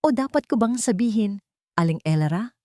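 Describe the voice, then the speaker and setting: A woman voicing a rich older matriarch in a story, calm, icy, mocking and threatening. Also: medium pitch, a little fast, no echo, clean studio sound, voice pushed up, close to an everyday voice. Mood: icy mockery. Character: cold, contemptuous rich older matriarch, menacing and haughty.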